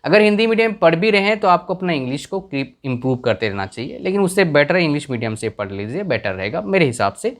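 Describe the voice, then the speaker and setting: Young guy, village language is his mother tongue, earnest advice chat, keeps asking if you get it, slightly high voice. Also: big pitch swings, fast, hardly any pauses. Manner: earnest, repeats questions to the viewer. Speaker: career advice YouTuber. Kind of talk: casual advice talk to camera.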